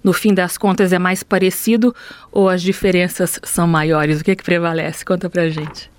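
A woman's voice: fairly low pitch, little pitch movement, moderate pace, no room sound. A woman hosting an interview, asking friendly questions and giving short agreeing replies. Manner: affable, short backchannels. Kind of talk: casual interview talk.

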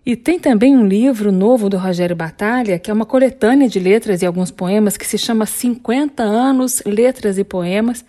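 A woman hosting a radio program, introducing songs and guests in a measured way. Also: mid-range voice, mellow.

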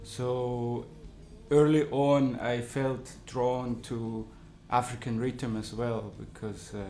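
A man's voice: mid-range voice, little pitch movement, darkish tone. Man, echoey room. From Switzerland. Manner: halting, searching for words. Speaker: musician. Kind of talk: casual interview.

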